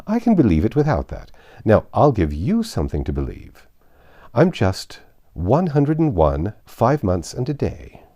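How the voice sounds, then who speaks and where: A man reading aloud, voicing a flustered old queen with a high, fluttering, excitable delivery. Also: a little slow, exaggerated voice. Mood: decisive. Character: flustered, fussy old queen, woman over a hundred.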